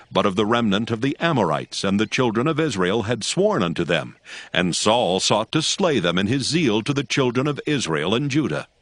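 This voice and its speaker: A man narrating scripture from a script in a measured, solemn manner. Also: on the low side, fairly bright tone, no echo. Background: background music.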